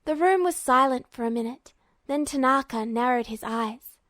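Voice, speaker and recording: Woman reading fan fiction aloud, highish voice, dry no-echo sound. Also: normal speed, fairly bright tone. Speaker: podfic narrator. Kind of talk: scripted fiction narration.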